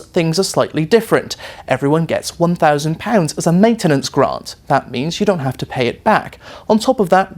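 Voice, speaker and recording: A man reporting a news explainer in a clear, measured newsreader delivery. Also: on the high side, a little mellow. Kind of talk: scripted news explainer narration.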